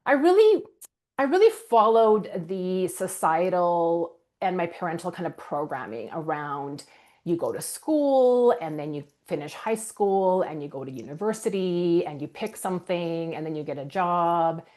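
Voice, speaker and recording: A woman's voice: fairly low pitch, very animated, a little slow, a little mellow. A middle-aged woman from Canada, whose first language is Ukrainian, earnestly and reflectively telling her life story as a podcast guest. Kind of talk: candid personal storytelling.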